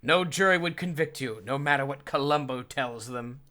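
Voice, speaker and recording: A man's voice: medium pitch, moderate pace, sharp tone, lightly acted. A man voicing a pompous, vain middle-aged radio psychiatrist in a comic fandub, with haughty, theatrical, ornate diction. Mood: smug, scheming.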